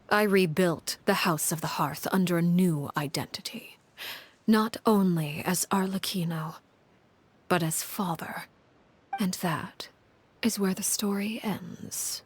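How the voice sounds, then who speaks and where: A cold, commanding matriarch of an orphan household speaks in a low, husky, almost hushed tone. She talks calmly and measuredly, with a quiet menace under every word. Her voice sits deep for a woman and is slightly breathy. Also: no room sound. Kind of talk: video game voice acting.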